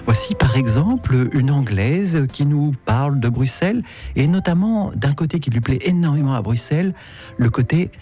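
A man's voice: mid-range voice, animated, moderate pace, warm tone, slightly rough. A man narrating as a host, introducing interviews in a conversational way. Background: music under the voice.

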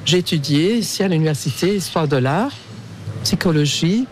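A middle-aged man with a Belgian Dutch accent, whose first language is Dutch, speaks French in a high voice. He talks in a lively, emphatic way, pausing to search for words. Faint street noise sits behind him. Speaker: interviewee. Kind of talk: street interview.

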